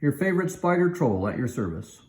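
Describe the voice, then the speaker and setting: A man's voice: medium pitch, very animated, brisk, roomy sound, voice pushed up, close to an everyday voice. A man reading a children's storybook, voicing a cheerful, loyal spider troll. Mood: chirpy.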